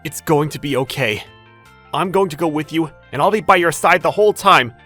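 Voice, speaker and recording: A woman voicing a loving, protective husband who speaks softly and turns angry at his mother. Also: low-pitched, a little fast, husky, soft background music, voice pushed down. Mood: gentle. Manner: gentle and soothing, furious when defending.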